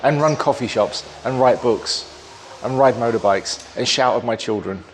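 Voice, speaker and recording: Man, mid pitch, dry and self-mocking; interviewee, faint hum behind. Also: moderate pace. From England. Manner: dry, joking, self-deprecating. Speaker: English teacher. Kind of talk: casual interview.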